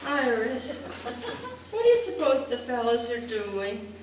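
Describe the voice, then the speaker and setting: A woman's voice: a little high, very animated, slow pace. A woman playing a scheming, restless housewife in an old-time radio comedy staged live. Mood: fretful, sheepish. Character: lively scheming 1940s sitcom housewife, restless and fretful. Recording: echoey hall, distant mics, hissy noise.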